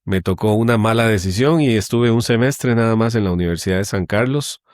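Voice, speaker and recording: Low-voiced man, hesitant and measured. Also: a little mellow, no room sound, studio quality. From Guatemala. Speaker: guest, company general manager. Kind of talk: conversational interview.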